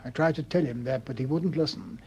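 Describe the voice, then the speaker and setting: An actor playing an older colonel in a radio detective drama, stiff and moralizing, with solemn, repetitive pronouncements. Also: male voice, medium pitch, fairly quick, darkish tone, breathy edge, lo-fi sound. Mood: grave, accusing.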